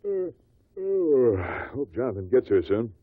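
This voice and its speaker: An actor playing an affectionate, wryly amused judge and husband in a 1950s radio drama. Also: male voice, medium pitch, very animated, measured pace, no room sound. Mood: genial. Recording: muffled, noisy old 1950 radio recording.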